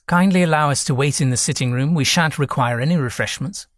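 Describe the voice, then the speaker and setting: A well-bred young gentleman, acted by a male narrator, speaking with imperious formality and control. It is a period storybook voice, recorded dry. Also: medium pitch, moderate pace, husky, no echo, close to an everyday voice. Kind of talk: audiobook character voice.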